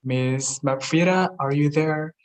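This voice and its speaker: Relaxed moderator, slow unhurried delivery. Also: medium pitch. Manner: casual, relaxed. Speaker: class moderator. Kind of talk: moderating an online class. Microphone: online video call.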